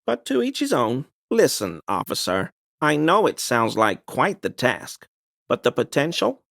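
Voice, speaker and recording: Video game conspiracy crank, smug, pedantic, mock-apologetic, fry-laden voice, lively intonation. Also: male voice, mid-range voice, unhurried, no echo, clean studio sound, heavily acted. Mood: apologetic, insinuating. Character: smug, paranoid cryptofascist man peddling conspiracy theories. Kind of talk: game character dialogue, conspiratorial explaining.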